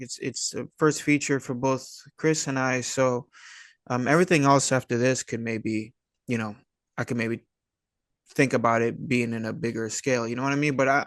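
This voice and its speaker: A man's voice: mid-range voice, fairly bright tone, no echo, studio quality. A man chatting casually as an interview guest, rambling and hesitant, with plenty of slang. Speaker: interview guest, actor and filmmaker. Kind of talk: casual chat.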